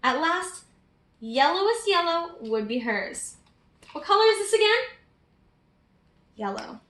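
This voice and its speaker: A woman's voice: extremely high pitch, sing-song, unhurried, fairly bright tone. A woman reading a picture book aloud expressively for young children, engaging them as she goes. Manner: prompts young listeners with questions. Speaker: children's storybook reader. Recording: roomy, echoey sound.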